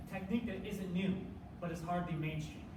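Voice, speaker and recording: A man performing rehearsed, dramatic spoken word in a measured, theatrical manner. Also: high voice, fairly even intonation. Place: live competition performance in a room. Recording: echoey room, distant mic, faint noise.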